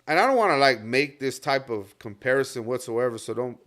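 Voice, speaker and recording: A man's voice: mid-range voice, lively intonation, fairly quick, fairly bright tone. A man from Texas with a Texan accent, a guest rapper telling stories in casual podcast chat, profane and loose with lots of fillers. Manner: laid-back, profane storytelling with lots of fillers.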